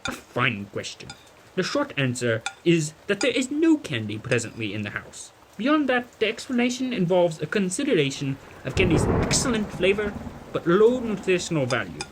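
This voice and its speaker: A man playing a kindly, learned, wordy gentleman who explains things formally in an audio drama. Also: high voice, huge pitch swings, normal speed, noisy background. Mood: patient, reassuring. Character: kindly, learned, verbose gentleman leading a group of children. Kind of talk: audio drama dialogue and narration.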